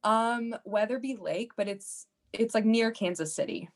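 A woman's voice: on the high side, a little slow. A woman podcast cast member chatting casually with the group. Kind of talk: casual chat.

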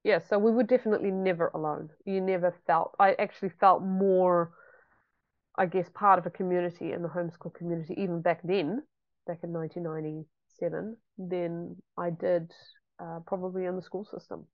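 A woman's voice: on the low side, fairly even intonation, fairly quick, no room sound, muffled recording. A woman, a homeschooling mother chatting reflectively in an interview with frequent ums. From New Zealand. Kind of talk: casual interview chat.

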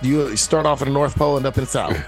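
A man co-hosting a podcast, hoarse from the flu, rambling and swearing as he tells stories. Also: medium pitch, fast talker, over music. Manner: rambling storyteller, profane. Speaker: podcast co-host. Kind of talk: casual podcast banter.

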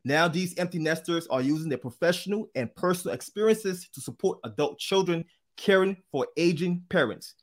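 A man's voice: high voice, moderate pace, few pauses. A man hosting an interview podcast, upbeat and chatty, with frequent fillers and restarts. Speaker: podcast host.

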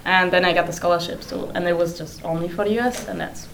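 A woman's voice: on the low side, fairly even intonation, fast, a crowd in the background, poor audio. A sixteen-year-old girl from Germany, a native German speaker, answering casually as an exchange student.

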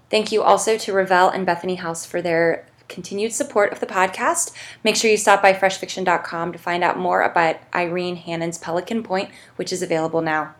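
A woman hosting a podcast in a casual, chatty and effusive way. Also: on the low side. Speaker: podcast host. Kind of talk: casual interview and podcast sign-off.

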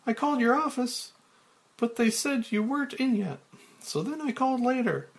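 A man puts on a high, breathless young woman who chatters eagerly in a lilting falsetto. She sounds earnest and a little flustered, her pitch soaring well above a man's. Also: animated, moderate pace.

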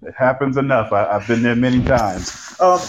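A man speaking as a podcast panel guest in a reflective, measured and deliberate way. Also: medium pitch, background noise. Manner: measured, deliberate, builds lists and quotations.

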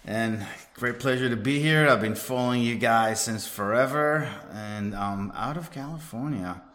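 A middle-aged man from Israel with an Israeli accent, a guest in a casual podcast chat who talks in a lively, emphatic way. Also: mid-range voice, unhurried.

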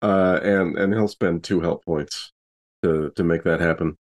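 A man's voice: sits low, steady tone, unhurried. A man talking casually as a player in a tabletop roleplaying game, describing what his character does. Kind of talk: casual chat.

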